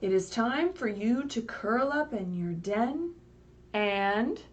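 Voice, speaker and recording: A woman voicing a small, agreeable snail from a children's storybook in an earnest, deliberate way. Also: on the high side, expressive, lo-fi sound. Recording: distant mic, faint background noise.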